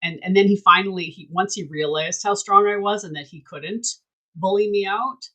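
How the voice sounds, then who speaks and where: A woman with a husky, slightly breathy voice, speaking at an ordinary mid pitch. She hosts a frank, unscripted conversation with emphatic delivery and freely dropped swear words. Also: moderate pace, pushed and bright. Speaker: host. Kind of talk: candid casual chat.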